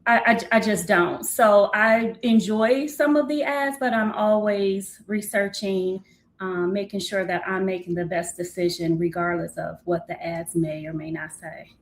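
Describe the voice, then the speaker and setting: A woman's voice: medium pitch, fairly even intonation, measured pace. A middle-aged woman, a podcast guest, speaking earnestly and a little hesitantly. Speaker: guest, the host's aunt. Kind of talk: casual conversation. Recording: echoey room.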